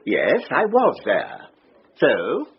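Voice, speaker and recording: An actor playing a prickly, pedantic, indignant amateur historian in a radio drama. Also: male voice, medium pitch, wildly swooping pitch, drawn-out words, full character voice. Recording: muffled radio drama, faint noise.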